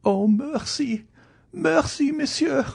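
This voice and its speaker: A man voicing a terrified gentlewoman begging her husband for mercy, with wailing, faltering pleas in a dramatic story reading. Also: sing-song, unhurried, slightly rough, heavily acted. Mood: desperate pleading. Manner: high, wailing, stammering pleas. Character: terrified, pleading wife, a guilty gentlewoman poisoner.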